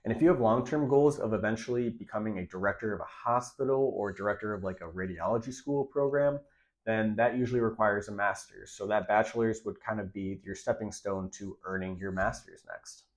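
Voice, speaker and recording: A man's voice: lowish voice, a little fast. Congested, nasal young man giving advice. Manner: direct, emphatic, repeats key points. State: congested, nasal from a recent illness. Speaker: radiology tech creator giving career advice. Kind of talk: casual advice vlog to camera.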